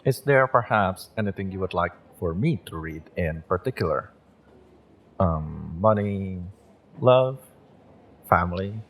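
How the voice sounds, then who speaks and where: Guy acting as a polite soft spoken male witch tarot reader, real deep and slow, roleplay audio. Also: animated, exaggerated voice. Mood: attentive, slightly shy. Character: gentle, courteous male witch, magick shop owner and tarot reader. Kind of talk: roleplay audio, acted monologue to the listener.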